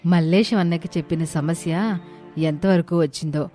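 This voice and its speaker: A woman playing an earnest, trusting village woman in an animated moral story, speaking respectfully. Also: on the low side, moderate pace, soft background music, close to an everyday voice.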